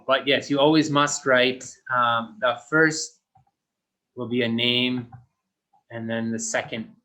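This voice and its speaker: Male teacher, recorded classroom lesson for kids; slow, deliberate delivery, mid-pitched, plain and simple phrasing. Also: sharp tone, rough recording. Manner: speaks slowly and simply for young learners.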